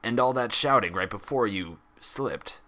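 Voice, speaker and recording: A man voicing an irritable male pony hospital orderly in a storybook reading, gruff, grumbling and sarcastic. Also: fairly low pitch, animated, measured pace, sharp tone. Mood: annoyed, muttering.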